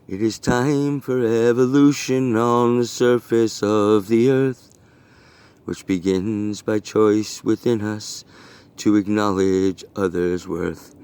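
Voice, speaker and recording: A man reading his own rhyming poem aloud in an earnest, deliberate and rhythmic delivery. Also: lowish voice, steady tone, a little mellow, clean tone. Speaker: poet reading own work. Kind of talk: recited rhyming poetry reading.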